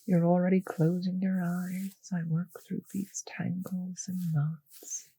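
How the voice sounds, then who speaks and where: A woman playing a possessive, teasing vampire mistress in an intimate roleplay audio drama, speaking slowly and condescendingly with a breathy voice that often sinks to a whisper. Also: lowish voice, little pitch movement, warm tone, no room sound, exaggerated voice. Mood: tender, condescending.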